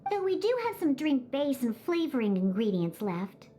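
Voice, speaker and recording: A woman's voice: high-pitched, very animated, normal speed, warm tone, a little hiss or hum. A woman voicing a grumpy, sassy young cat-girl bartender in an anime game, childlike and dismissive. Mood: grudging, sassy.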